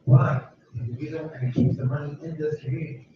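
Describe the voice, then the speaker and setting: A man's voice: on the high side, steady tone, fairly quick, slight background noise. Emphatic man, distant and echoey. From United States. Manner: emphatic, rambling, repeats himself. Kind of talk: informal talk to a walking group. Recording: distant, echoey, muffled with loud ambience.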